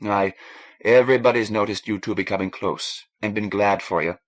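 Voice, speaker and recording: A man voicing a warm, frank Irish woman, a ship's doctor, in a lilting, coaxing manner for an audiobook. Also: fairly low pitch, steady tone, normal speed, lightly acted. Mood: soothing, probing.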